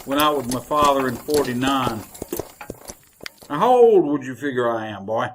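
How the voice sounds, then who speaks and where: An actor playing a chatty, folksy older wagon driver with a rural Western American drawl in an old-time radio Western. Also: male voice, medium pitch, expressive, moderate pace, a bit bright, a little hiss or hum, close to an everyday voice. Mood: boastful, jovial. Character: garrulous leathery old frontier wagon driver, folksy and cheerful.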